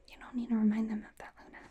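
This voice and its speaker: A woman playing a friendly, self-correcting werewolf doctor in an ASMR roleplay, speaking in a soft, gentle, hesitant whisper. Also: a little high, slightly rough. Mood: flustered, sheepish.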